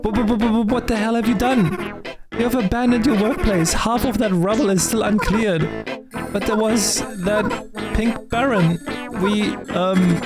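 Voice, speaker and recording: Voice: mid-range voice, takes it slowly, pitched above the performer's own voice, natural voice. A storyteller voicing a frazzled, stammering female work supervisor who scolds her crew. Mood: outraged. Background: guitar music.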